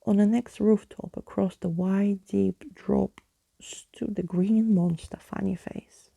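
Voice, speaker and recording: A woman softly narrating a storybook in an ASMR style, close to the microphone. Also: mid-range voice, fairly even intonation, slow, creaky, husky, dry recording. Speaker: ASMR reader.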